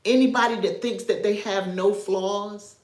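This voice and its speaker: A woman's voice: medium pitch, steady tone, a little slow, darkish tone, echoey room, rough recording. A woman from New York, raised in North Carolina, giving casual livestream commentary. She talks in a chatty way, drifts off topic and chuckles often. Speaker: social media commentator.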